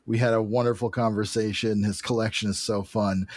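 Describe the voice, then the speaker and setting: A man's voice: on the low side, little pitch movement, brisk, a little mellow, no echo. A man co-hosting a podcast in casual chat, telling things in a rambling, digressive way. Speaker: podcast co-host.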